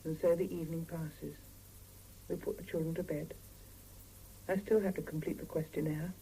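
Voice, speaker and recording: A woman's voice: low voice, steady tone, moderate pace, dark tone, rough recording. An actress playing a weary, exasperated Soviet working mother with dry, sardonic delivery in a 1970s radio drama. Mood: weary, reflective. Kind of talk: 1970s radio drama, dialogue and intimate first-person narration. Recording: hissy 1975 radio drama cassette, mono.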